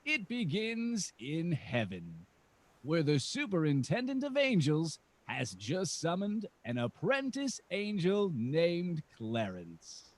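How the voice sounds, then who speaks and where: A man's voice: on the high side, huge pitch swings, slow pace, background noise, heavily acted. A man playing a grand radio drama host, delivering scripted old-time narration. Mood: dramatic, storytelling. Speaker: announcer and narrator. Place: remote video call recording.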